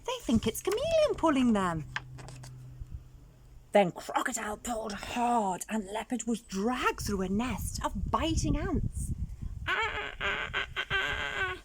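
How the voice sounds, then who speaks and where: Animated woman reading a children's storybook. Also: fairly high pitch, measured pace, background noise. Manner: animated, questioning asides to young listeners. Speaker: storybook reader for young children. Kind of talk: expressive storytelling read aloud.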